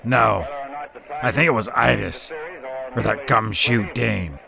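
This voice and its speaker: A man playing a shifty, nervy 1940s gangster henchman in a noir audio drama, talking slangy underworld talk in a gravelly voice. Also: on the low side, takes it slowly, a crowd in the background, exaggerated voice. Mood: nervy, deferential. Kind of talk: noir radio drama phone conversation.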